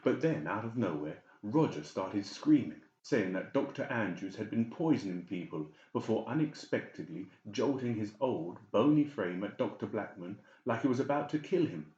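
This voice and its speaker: A man's voice: on the low side, lively intonation, moderate pace, hardly any pauses, dark tone, roomy sound, muffled recording. A man reading scripted, dramatic narration as an audiobook narrator.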